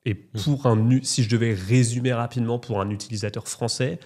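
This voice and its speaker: A man's voice: mid-range voice, fairly even intonation, fairly quick, darkish tone. A man who represents a company in France, explaining its markets with confidence in a business interview.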